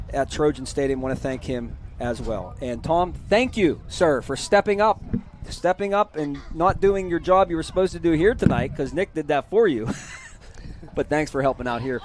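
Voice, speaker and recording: Man, play-by-play announcer, chatty, energetic, hoarse from losing his voice, press box, outdoor stadium. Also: fairly high pitch. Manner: chatty, energetic, reads scores quickly. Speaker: play-by-play broadcaster. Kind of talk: postgame sports commentary.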